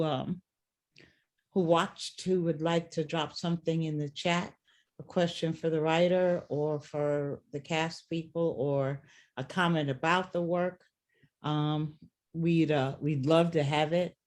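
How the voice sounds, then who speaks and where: A middle-aged host leads a post-show talk at an unhurried pace. The delivery is reflective and halting, with words often repeated while searching for the next thought. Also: medium pitch, little pitch movement, darkish tone. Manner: reflective, halting with word repetitions. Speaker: theater director and discussion host.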